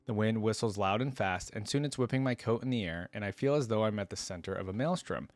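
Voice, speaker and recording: Man, low voice, reading aloud, casual reaction host, slangy, dry close-mic sound. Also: fast talker, no room sound.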